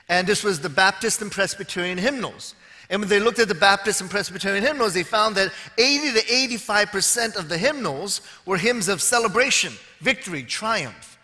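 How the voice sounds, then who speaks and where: A man's voice: high voice, expressive, moderate pace, sharp tone. A man in his fifties preaching to a large audience, joking dryly at his own expense before turning to teaching. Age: 50s. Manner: wry, joking asides to the audience. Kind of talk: sermon with self-deprecating humor. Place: large auditorium with long reverb. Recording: long hall reverb on stage.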